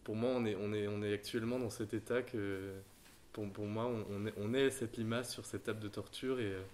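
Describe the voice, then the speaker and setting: Low, halting French artist. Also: male voice, fairly even intonation, slight background noise. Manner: soft-spoken, halting, frequent fillers. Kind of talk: casual conversation.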